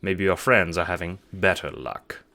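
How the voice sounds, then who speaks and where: A man narrating an audiobook-style drama in the second person with an expressive storytelling delivery. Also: sits low, moderate pace, over music.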